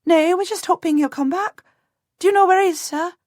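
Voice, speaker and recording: A woman's voice: very high-pitched, a little fast, a little mellow, no echo, clean studio sound, pitched above the performer's own voice. A woman voicing a young goose girl with a strong rural way of talking, eager and chatty. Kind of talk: audiobook character voice.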